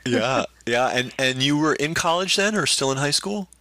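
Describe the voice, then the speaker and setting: Bright-voiced male interviewer. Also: medium pitch, lively intonation, moderate pace, dry recording. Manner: brief encouraging interjections and follow-up questions. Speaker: interviewer, host. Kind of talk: casual interview.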